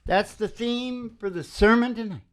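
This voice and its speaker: A man's voice: high voice, moderate pace, a bit bright. A man hosting a music show with casual, rambling, irreverent banter, joking and swearing between songs. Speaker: live music show host and singer. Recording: dull lo-fi recording with guitar.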